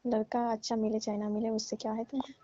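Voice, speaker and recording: A woman's voice: a little high, flat delivery, fast talker, warm tone, no echo. A woman in a casual phone conversation, speaking in a dismissive, detached manner as she brushes off the other person. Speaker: call partner breaking off a relationship. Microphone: phone call. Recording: dull lo-fi phone call recording.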